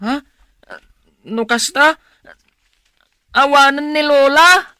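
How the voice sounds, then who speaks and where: A woman's voice: high voice, takes it slowly, pushed and bright, dry recording, clean studio sound, full character voice. A woman playing an anxious, dutiful young grandchild in a radio drama, with a questioning manner and a northern Philippine accent. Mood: alarmed.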